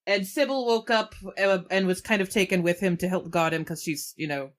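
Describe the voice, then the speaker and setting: A woman's voice: fairly low pitch, fairly quick. A woman playing a tabletop role-playing game, improvising narration and lines for her character in a casual way.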